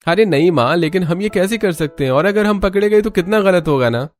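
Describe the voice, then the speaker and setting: Man playing a higher-voiced, plain-spoken grown son. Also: animated, fairly quick. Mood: hesitant. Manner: explanatory, conversational. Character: adult son of a thrifty family, plain-spoken, agreeable. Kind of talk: cartoon story character dialogue.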